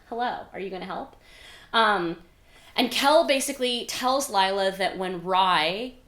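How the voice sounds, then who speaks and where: A woman hosting a readalong video, recapping a story in a casual, chatty way with animated emphasis and quieter asides. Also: on the low side. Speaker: host of a book readalong video, author. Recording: roomy, echoey indoor sound.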